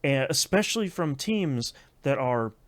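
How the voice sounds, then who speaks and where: A man's voice: mid-range voice, lively intonation, unhurried. A man co-hosting a video game podcast, talking casually with lots of fillers and restarts. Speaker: podcast co-host. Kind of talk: casual chat.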